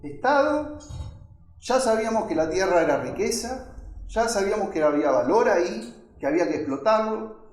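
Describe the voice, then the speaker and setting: A man's voice: high voice, animated, moderate pace. A man giving an informal lecture in conversational, fragmented phrases, often checking in with listeners. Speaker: architect giving a talk. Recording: echoey room.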